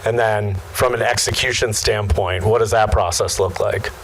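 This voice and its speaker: Low-pitched male panel moderator. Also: steady tone, moderate pace, slight background noise. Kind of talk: casual on-stage panel hosting.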